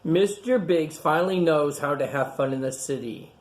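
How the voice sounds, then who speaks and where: A woman doing a storytime for young children, reading and explaining in simple, repetitive words with gentle questions to her listeners. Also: low-pitched, moderate pace. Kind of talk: picture-book read-aloud and simple chat to young children.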